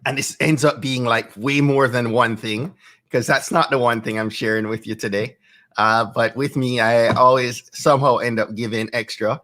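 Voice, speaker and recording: A Jamaican man in his thirties talks through tips on a live stream, his voice bright and forward. He keeps the tone chatty and often tags his points with "right?". He is close on a studio mic in a home studio. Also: mid-range voice, steady tone, normal speed. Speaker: host. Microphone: studio microphone with headphones.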